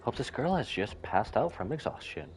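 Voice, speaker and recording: A man's voice: mid-range voice, sing-song, moderate pace, a little hiss or hum. A man live streaming a game, casually commenting and reading dialogue aloud.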